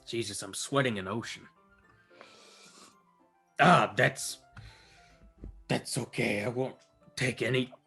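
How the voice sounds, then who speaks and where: A man's voice: mid-range voice, slow pace, gravelly, pauses often, pushed and bright, slightly rough, soft background music. A man on a gaming podcast, sardonic and swearing, often breaking into laughter. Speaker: let's play co-host. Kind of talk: casual podcast banter.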